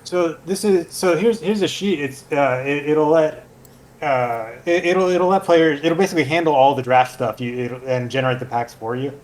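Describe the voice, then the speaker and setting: A man's voice: a little high, normal speed, darkish tone. A man guesting on a podcast, explaining something in casual chat, hesitant and trailing off as he searches for words. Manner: hesitant, many fillers and restarts, trails off.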